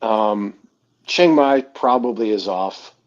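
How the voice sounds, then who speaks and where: A man's voice: on the low side, slow pace. Dull lo-fi recording of man chatting casually. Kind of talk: casual chat. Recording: dull lo-fi voice channel.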